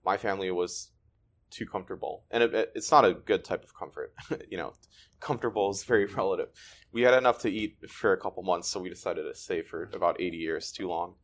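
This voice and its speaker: Deep-voiced Ukrainian-born man, halting. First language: Russian. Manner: thoughtful, restarts often, halting. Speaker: guest. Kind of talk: conversational interview.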